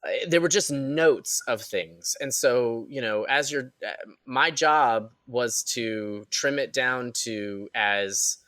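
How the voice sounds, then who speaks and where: A man's voice: medium pitch, slow pace, sharp tone, dry recording. Man chatting emphatically, dry close sound. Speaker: audio editor on the production. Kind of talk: casual, animated panel chat.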